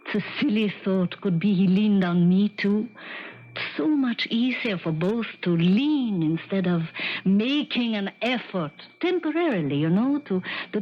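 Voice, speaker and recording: An actress playing a solemn European woman survivor who believes in spirits, speaking gravely and haltingly in a 1970s radio mystery. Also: medium pitch. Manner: deliberate, grave, halting, with reflective pauses. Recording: dull, muffled vintage radio recording.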